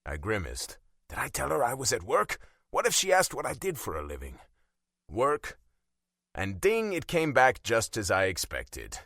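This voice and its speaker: A man's voice: medium pitch, wildly swooping pitch, moderate pace, vocal fry, bright, forceful tone, no room sound, studio quality. A man narrating a first-person romance audiobook in a wry, self-deprecating, conversational way.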